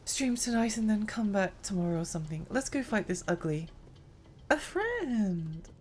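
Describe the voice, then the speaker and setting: A woman's voice: mid-range voice, big pitch swings, moderate pace, a little mellow, slight background noise. Giggly woman streamer with a strained voice. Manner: chatty, giggly, rambling. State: strained, tiring voice. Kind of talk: live game stream chat.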